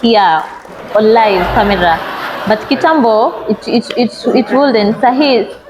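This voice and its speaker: Relaxed Kenyan woman, reverberant room. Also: on the high side, unhurried, crowd noise. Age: 20s. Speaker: panel guest. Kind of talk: casual panel chat.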